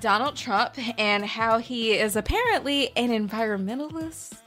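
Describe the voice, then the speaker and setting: A woman's voice: a little high, animated, normal speed, fairly bright tone, soft background music. A woman co-hosting a podcast in casual banter, with a blunt, sweary, sardonic way of talking. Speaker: podcast co-host.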